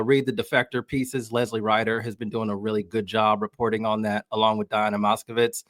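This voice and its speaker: A man's voice: medium pitch, dry recording, studio quality. An American man speaking as a podcast guest, giving informal, wry, conversational sports commentary. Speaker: podcast guest, soccer journalist.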